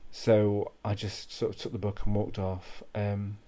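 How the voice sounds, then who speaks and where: A man telling a comic first-person story in an awkward, deadpan way, halting and repeating his phrases. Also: on the low side. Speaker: comic monologue host.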